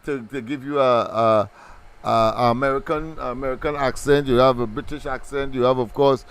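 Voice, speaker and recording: A man's voice: medium pitch, fairly even intonation, smooth. A man hosting a political talk radio show, speaking in formal phrasing broken by many ums and restarts. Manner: halting, full of ums and restarts, formal wording.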